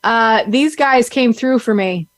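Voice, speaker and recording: A woman's voice: a little high, slow pace, dry recording, studio quality. A woman hosting a podcast, talking casually with her co-host and husband in a rambling, chatty way full of fillers and restarts.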